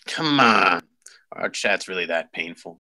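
Man acting a forceful, low-voiced smug police lieutenant. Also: expressive, exaggerated voice. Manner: smug, insinuating, deliberate. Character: suave, menacing police lieutenant in his forties. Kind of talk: script table read.

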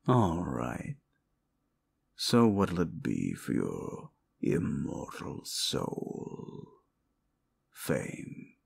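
A man playing a condescending, sardonic ancient demon in an audio roleplay, gravelly, with theatrical, mocking delivery. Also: a bass voice, a little hiss or hum, heavily acted. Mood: menacing, teasing. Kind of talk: audio roleplay monologue addressed to the listener.

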